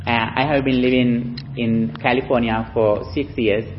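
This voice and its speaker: A man, a Buddhist monk from Sri Lanka with a Sri Lankan accent, giving a slow, halting dharma talk with pauses for an interpreter. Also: medium pitch, steady tone, a little hiss or hum. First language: Sinhala. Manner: slow, halting speech with many fillers. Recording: dull lo-fi recording.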